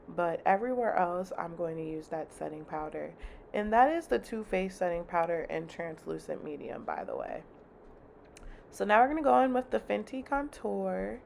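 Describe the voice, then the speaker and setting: Young woman, chatty and slangy, congested with a fry-heavy voice, faint background hiss. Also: medium pitch. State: congested, slightly raspy. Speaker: beauty vlogger. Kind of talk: casual makeup tutorial chat.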